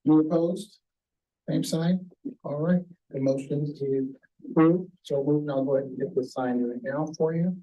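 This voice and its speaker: Slightly hoarse man chairing a meeting. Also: fairly high pitch, moderate pace, mellow, low-quality recording. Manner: procedural, methodical. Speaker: board chair.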